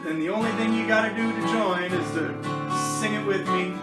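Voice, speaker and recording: A woman's voice: mid-range voice, brisk. A woman performing a folk talking-blues monologue and leading a sing-along over acoustic guitar in a community theater radio show. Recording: roomy hall, distant, loud guitar.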